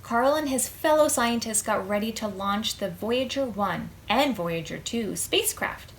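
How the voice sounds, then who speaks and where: A woman reading a picture book aloud to preschoolers as a storytime host, expressive, drawing out words and putting questions to her young listeners. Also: a little high, measured pace, slight background noise.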